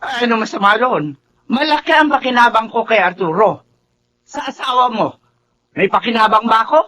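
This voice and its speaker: A woman's voice: on the high side, moderate pace, bright, forceful tone, slight background noise. An actress playing an older, money-minded Filipino mother-in-law in a Tagalog radio drama, with a melodramatic delivery. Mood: scornful. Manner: scolding, melodramatic. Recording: music under the voice.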